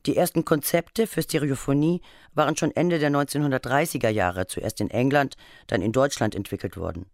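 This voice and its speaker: A man narrates a radio documentary from a script. His delivery is formal and factual, in a medium-pitched voice with a dry, close studio sound. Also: fairly quick, no echo. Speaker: feature narrator.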